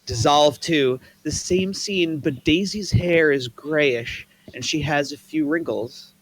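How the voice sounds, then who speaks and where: A man reading the stage directions aloud at a table read in a plain, even way. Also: fairly high pitch, slow, low-quality recording.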